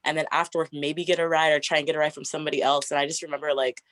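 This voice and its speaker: Young woman, 20s, deep raspy voice, chatty guest, dry close sound. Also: flat delivery, racing, a bit bright, no echo. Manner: chatty, frequent "like" fillers, laughs often. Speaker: interview guest. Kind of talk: casual get-to-know-me interview.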